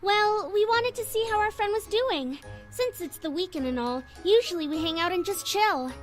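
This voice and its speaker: A woman's voice: very high voice, expressive, moderate pace. A woman voicing a curious, bubbly young female friend in an anime-style visual novel game. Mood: curious, upbeat. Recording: game music and jingles behind.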